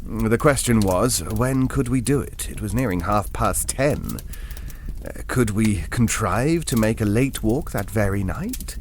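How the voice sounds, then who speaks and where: An actor playing an earnest, bookish gentleman who recounts an eerie tale in an audio drama, wry, hesitant and reflective. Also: fairly low pitch, lively intonation, a little slow, slight background noise. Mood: reflective, uneasy. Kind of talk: ghost story narration in audio drama.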